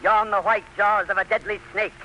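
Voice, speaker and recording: A man's voice: high voice, level tone, moderate pace, sharp tone, highs cut off, a little hiss or hum. A man narrating an ominous, emphatic teaser in old-time radio style. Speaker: radio announcer.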